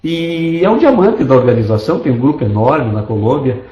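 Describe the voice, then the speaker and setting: A man from Brazil runs an online business meeting with keen, persuasive energy and long spoken lists. His voice is mellow and of middle pitch. It comes through a desktop microphone, muffled and echoey. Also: expressive, a little fast. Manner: enthusiastic, persuasive, repetitive lists. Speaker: network marketing presenter. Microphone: desktop computer mic.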